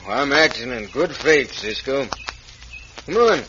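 A man's voice: mid-range voice, lively intonation, a little slow, background noise, close to an everyday voice. Scheming western mine boss played by a man, American drawl, vintage muffled radio drama. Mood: falsely friendly. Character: scheming crooked western mine owner, feigning folksy generosity. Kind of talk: old-time radio western acting.

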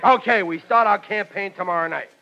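Casting: bumbling, boastful American working dad, high forceful voice, folksy delivery, old radio comedy. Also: male voice, fairly quick, vocal fry, heavily acted. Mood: blustering, confident. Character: blustering, well-meaning working-class father and war plant worker. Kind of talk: 1940s radio comedy acting. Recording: dull vintage radio recording.

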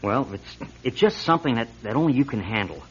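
An actor playing an earnest, insistent auto lab technician turned whistleblower in a radio drama, hesitant at times and urgent at others. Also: male voice, medium pitch, expressive, quick pace, husky. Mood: nervous, hesitant. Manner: hesitant, then urgent and emphatic. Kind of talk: 1970s-style radio drama acting. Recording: dull lo-fi radio recording.

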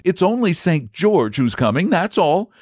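A man reading aloud, putting on a young boy for a storybook, pitched far above his own voice and bursting with eagerness. The delivery is high and pleading, and the dry, close recording has no room sound. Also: very animated, a little slow, darkish tone, no room sound, full character voice. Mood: excited.

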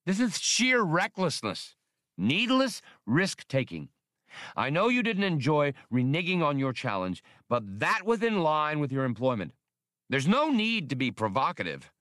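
A man's voice: fairly high pitch, huge pitch swings, measured pace, a bit bright, dry recording, studio quality, voice pushed up, heavily acted. A man voicing a fussy, whiskered alien bureaucrat in an audiobook, with a lisp and formal, precise, wordy speech. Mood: alarmed, protesting. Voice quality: lisping.

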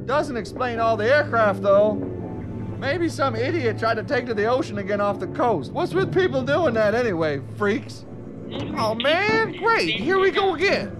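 Tired sarcastic toll booth worker, really high pitched, naturalistic radio play, music loud underneath. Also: male voice, sing-song, normal speed. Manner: grumbling, sarcastic, politely routine with customers. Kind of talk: naturalistic audio drama acting.

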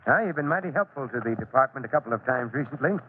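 A man acting a kindly, fatherly middle-aged fire chief in an old-time radio drama, with a folksy, gently hesitant delivery. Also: mid-range voice, expressive, very fast, close to an everyday voice. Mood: fatherly, encouraging. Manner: avuncular, folksy, with small hesitations. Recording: tinny, noisy old radio recording.

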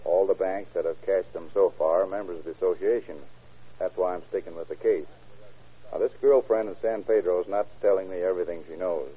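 An actor playing a tough, slangy private investigator who questions in a clipped way, in 1940s radio crime drama style. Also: male voice, low-pitched, steady tone, fairly quick, husky. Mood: explanatory. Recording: dull old radio drama recording.

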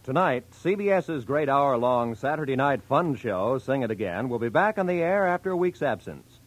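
A man's voice: medium pitch, animated, normal speed, faint music behind. An American man announcing formally, with some showmanship, in scripted 1940s radio style.

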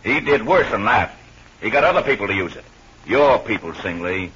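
Stern, low-voiced military investigator. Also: male voice, expressive, normal speed, fairly bright tone. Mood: accusing. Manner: stern, interrogating, clipped. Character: hard-nosed, suspicious military intelligence captain. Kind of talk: old-time radio drama acting. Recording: muffled, noisy old radio recording.

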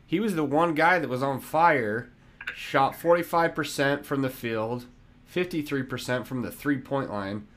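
A man hosting a live postgame fan talk show, talking in a slow, easygoing way with many fillers. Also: medium pitch, fairly bright tone. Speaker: podcast host.

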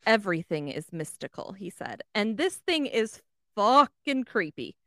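A woman's voice: mid-range voice, sing-song, unhurried, fairly bright tone, no echo, clean studio sound. A woman co-hosting a podcast, casually relaying odd news in an amused, informal and sweary way. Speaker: podcast co-host.

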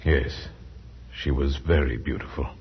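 Very deep, dread-filled horror narrator. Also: male voice, steady tone, slightly rough, full character voice. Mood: grave pleading. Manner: solemn, measured, ominous dramatic delivery. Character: grave, dread-filled male narrator, loyal friend of the madman. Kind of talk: 1940s radio horror narration and acting. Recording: muffled 1940s radio broadcast with music.

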